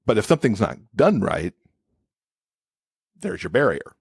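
Guy with a lowish voice explaining stuff as a podcast guest, really dry sound. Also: sing-song, a little slow, gravelly, husky, no room sound. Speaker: podcast guest, accessibility expert. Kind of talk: conversational interview explanation.

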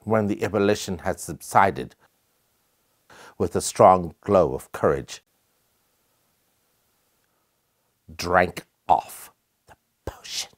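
A middle-aged man playing a haunted, sinister Victorian doctor in a grave, theatrical gothic monologue with a husky voice. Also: sits low, expressive, slow, pauses often, exaggerated voice. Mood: grave, brooding. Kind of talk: dramatic monologue from gothic fiction.

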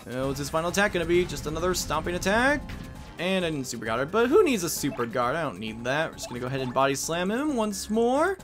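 A man's voice: a little high, very animated, fast talker, fairly bright tone. A man giving casual gameplay commentary as the host of a let's play. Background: game music.

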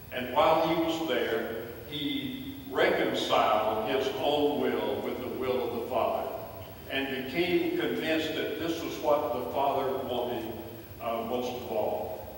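An older man preaching a measured sermon as a pastor, pausing often and restarting his phrases. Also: mid-range voice, slight background noise. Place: church sanctuary, in front of a pulpit. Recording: very echoey church hall from far off.

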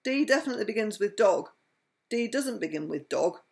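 A woman's voice: mid-range voice, lively intonation, a little fast, creaky, a little mellow. An older woman voicing a sceptical, pedantic cat in a picture book. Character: sceptical grumpy cat, storybook.

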